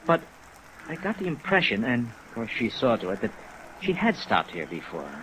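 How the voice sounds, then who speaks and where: A man's voice: mid-range voice, huge pitch swings, normal speed, a bit bright, poor audio. A man acting as a chagrined, middle-aged veteran hotel operator in a 1950s radio drama, hesitant and self-mocking. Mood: sheepish recounting. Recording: vintage radio recording under rain noise.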